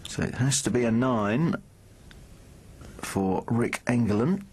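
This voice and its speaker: A man commentating on a poker final in a measured, wry way. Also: medium pitch, expressive, a little mellow, slightly rough. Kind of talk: live commentary.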